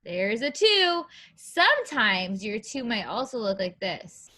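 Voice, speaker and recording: A woman's voice: on the high side, big pitch swings, slow, pushed and bright. A woman preschool teacher reading aloud to young children, asking questions and counting in an encouraging, sing-song way with simple phrasing.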